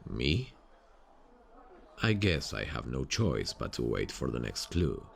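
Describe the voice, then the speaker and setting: A man playing a restless amateur sleuth in an ASMR roleplay, speaking in a low-key, brooding way. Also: sing-song, takes it slowly, vocal fry, exaggerated voice. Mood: businesslike. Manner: low-key, brooding inner monologue and plain dialogue. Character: restless amateur sleuth working alongside a detective.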